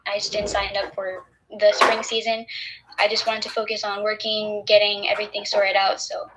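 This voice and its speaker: A woman's voice: medium pitch, monotone, normal speed, very noisy. A woman introducing herself briefly on a video call. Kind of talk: casual Zoom introduction.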